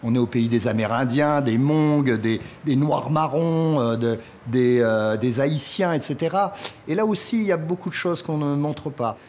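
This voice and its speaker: A man with a mid-pitched voice talks as a guide to a collection. He explains in spontaneous interview style, listing points as he goes. Some ambient noise sits behind him. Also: normal speed, darkish tone. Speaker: insect collector and exhibition guide. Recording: clear background noise, natural ambience.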